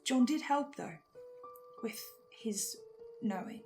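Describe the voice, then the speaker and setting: A woman giving reflective recap commentary, earnest and musing, often in short halting phrases. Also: on the high side, expressive, a little mellow, soft background music. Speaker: fan commentator playing a researcher.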